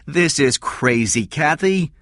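A man's voice: a little high, lively intonation, slow, fairly bright tone, dry recording, clean studio sound, voice pushed up, heavily acted. A man voicing a desperate small-time criminal who pleads and stammers defensively.

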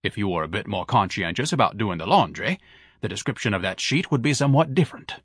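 A man's voice: fairly low pitch, animated, fast, slightly rough, dry recording, clean studio sound. A man voicing a pompous, lazy grown man who speaks with haughty, elaborate, condescending diction.

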